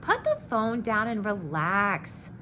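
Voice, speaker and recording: Woman reader as a brash male giant killer from a children's book, breezy and swaggering, swooping pitch, noisy room. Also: wildly swooping pitch, slow pace, sharp tone, exaggerated voice. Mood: cocky, instructive. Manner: boastful, breezy, persuasive. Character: cocky, greedy, scheming man, a storybook giant killer. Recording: clear background noise.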